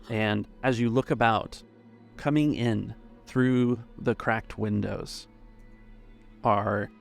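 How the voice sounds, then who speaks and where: Slow, creaky, low-voiced male game master. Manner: deliberate, pausing between phrases. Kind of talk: tabletop game narration.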